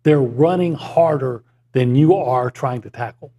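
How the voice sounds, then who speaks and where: A man talking sports as a columnist on a studio show, measured and analytical, with dry asides. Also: mid-range voice, warm tone. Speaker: sports columnist and regular guest. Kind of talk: casual sports talk chat. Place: broadcast studio.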